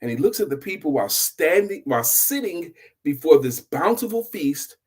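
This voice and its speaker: Middle-aged male storyteller, husky, widely swinging pitch, emphatic delivery with dramatic pauses. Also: very animated. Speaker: storyteller host. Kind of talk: dramatic, deliberate oral storytelling with a moral.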